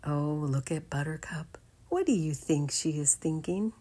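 A woman's voice: low-pitched, lively intonation, unhurried, dark tone, slightly rough. A woman reading a children's storybook aloud expressively.

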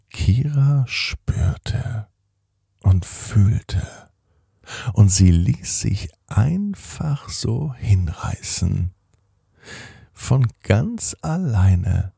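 A middle-aged man hosting a children's podcast, telling a bedtime story in a slow, soothing, gently animated way. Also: on the low side, gravelly, breathy edge, dry recording, studio quality.

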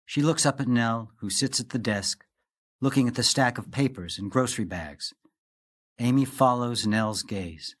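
A man's voice: medium pitch, normal speed. A man giving scripted narration, reading the stage directions of a play.

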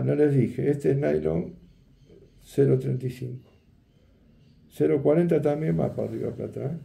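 A man's voice: a little high, creaky, dark tone, echoey room. An older man, teaching a fishing rig setup in a chatty tutorial and often asking his viewers "¿eh?" and "¿ves?". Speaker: fishing instructor. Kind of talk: hands-on tutorial demonstration. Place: indoor workroom at a table.

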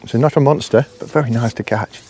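A man in his fifties, an angler talking casually to the camera from a lakeside bank, soft-spoken and often murmuring under his breath. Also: mid-range voice, quick pace, husky. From England. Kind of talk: casual fishing commentary. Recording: faint outdoor noise with birds.

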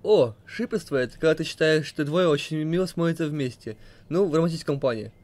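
A man's voice: fairly high pitch, expressive, fast, background noise. A man reading questions and explanations aloud as narrator of an amateur comic dub.

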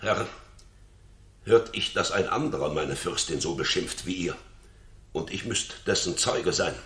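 An honest, dignified courtier in a classical radio drama. The voice is extremely deep yet moves widely in pitch, formal and earnest, in a roomy, echoing sound. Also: male voice, expressive, measured pace, a bit bright. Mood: indignant. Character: loyal, honest, dignified male courtier and royal counsellor. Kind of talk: 1960s German radio play, classical stage acting.

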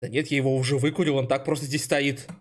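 A man's voice: mid-range voice, little pitch movement, fast. A young man giving casual, blunt, sweary reaction commentary from a streaming setup. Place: indoor streaming setup with webcam.

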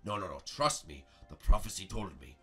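A man's voice: sits low, expressive, slightly rough. A man voicing a solemn, self-important mystical guide in an improvised toy story. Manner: pompous, slow proclaiming.

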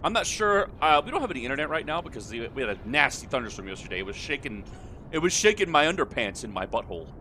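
Animated, bright-voiced man gaming. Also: mid-range voice, quick pace, slight background noise. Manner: jokey, sweary, rambling. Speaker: let's play host. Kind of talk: casual gaming commentary.

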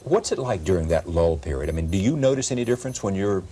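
A man's voice: sits low, expressive, fast talker, warm tone, husky. A middle-aged man hosting a broadcast interview, probing and rephrasing his questions at length, on a lapel mic in a studio. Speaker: talk show host. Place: television studio set.

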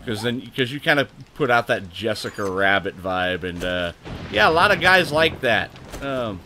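American streamer guy chatting while he plays, laughs a lot, swears when stuff goes wrong, sharp bright voice. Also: lowish voice, lively intonation, normal speed, a little hiss or hum. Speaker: livestream host. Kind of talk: casual gaming livestream chat.

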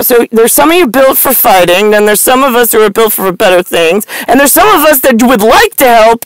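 A forty-something woman delivers a rambling, stream-of-consciousness monologue. Her voice sits at an average pitch, with a blunt, sardonic manner. The sound is close and dry, as recorded inside a car. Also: dry recording, low-quality recording. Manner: blunt, sardonic, profane, animated hand gestures. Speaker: vlogger. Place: inside a parked car at night.

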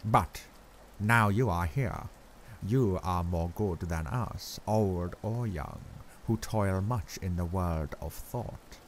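A man voicing an older, grave and kindly foreign professor who speaks in halting, odd word order. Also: low voice, slight background noise.

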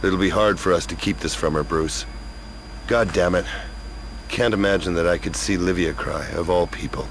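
Tough action hero, very low voice, blunt, gritty, video game cutscene. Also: male voice, normal speed, slight background noise, poor audio. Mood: grim. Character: tough, angry, loyal male action hero.

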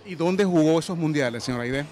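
Higher-pitched male sports interviewer. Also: fast talker, a little mellow. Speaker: TV show host and interviewer. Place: indoor bowling alley. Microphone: handheld microphone. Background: music.

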